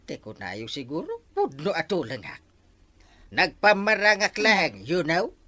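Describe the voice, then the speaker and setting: A man's voice: on the high side, huge pitch swings, unhurried, sharp tone, exaggerated voice. A man with an Ilocano accent playing a wily, scolding village captain in a comedy drama. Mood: scolding, gloating.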